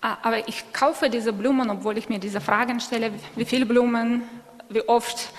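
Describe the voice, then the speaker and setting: Higher-pitched woman interpreting in an echoey hall. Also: fairly even intonation, normal speed. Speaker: sermon interpreter. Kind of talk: live consecutive interpreting of a sermon. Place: church hall. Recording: echoey hall with long reverb.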